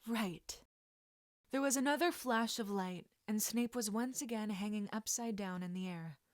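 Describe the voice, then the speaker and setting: A woman reading a story aloud as an expressive audiobook narrator. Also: medium pitch, normal speed, dry recording, clean studio sound. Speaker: audiobook narrator of fan fiction.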